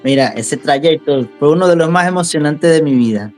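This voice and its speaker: A man's voice: fairly high pitch, expressive, moderate pace, darkish tone, soft background music. A young man from central Venezuela with a Venezuelan accent, talking casually as an interview guest and repeating words for emphasis. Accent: Venezuelan Spanish.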